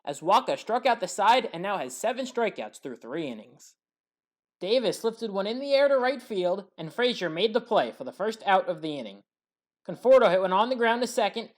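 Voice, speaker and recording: A man narrating scripted play-by-play sports highlights as a commentator, with dramatic emphasis.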